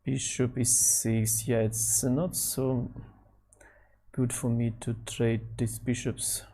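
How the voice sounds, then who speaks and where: Slow, halting man thinking aloud. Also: mid-range voice, little pitch movement. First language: Nogai. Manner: halting non-native English, thinking out loud. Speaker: chess streamer. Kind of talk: thinking aloud while playing online chess.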